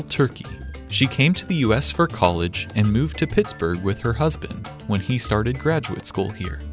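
A man hosting a radio show, reading a scripted introduction in a measured, even way. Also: medium pitch. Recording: voice over faint background music.

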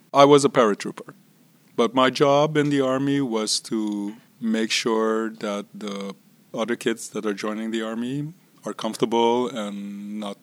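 A man in his sixties from Israel and Curaçao, telling his life story in an interview at an unhurried pace that trails off softly at phrase ends. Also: mid-range voice. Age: 60s. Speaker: interview guest. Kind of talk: relaxed personal storytelling.

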